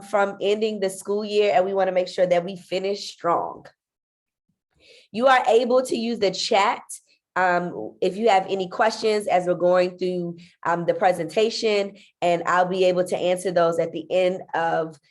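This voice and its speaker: Woman, congested, nasal, school leader, video call presentation, lots of ums. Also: medium pitch. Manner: friendly, filler-heavy with frequent ums. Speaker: school principal. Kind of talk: online parent meeting presentation.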